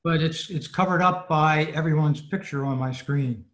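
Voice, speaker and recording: A man giving an informal talk as a club presenter on a video call, halting and hesitant. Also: a little high, a bit bright. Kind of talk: halting informal lecture over slides. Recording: roomy video-call audio.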